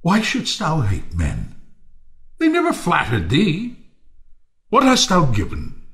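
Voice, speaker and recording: A man's voice: a little high, wildly swooping pitch, slow, creaky, fairly bright tone, full character voice. An actor playing a bitter, ruined Athenian nobleman turned misanthrope in a Shakespearean audio play, theatrical and scornful. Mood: contemptuous.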